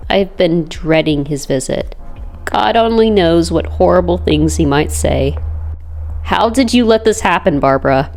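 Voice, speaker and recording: A woman's voice: lowish voice, expressive, unhurried, gravelly, a little mellow, faint music behind, low-quality recording. A woman playing an earnest, wry young superheroine in a dramatic comic dub, both speaking lines and narrating her anxious thoughts. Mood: reflective, pained.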